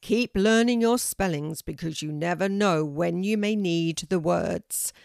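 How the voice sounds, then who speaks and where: Lady doing a big loud magic oak tree teacher, slow and bossy but kind. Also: fairly low pitch, vocal fry, clear, resonant tone, no room sound, studio quality. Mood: pleased.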